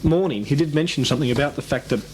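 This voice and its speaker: Man, slightly high voice, rambling amateur radio operator, casual, repeats himself, noisy clicking recording. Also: racing.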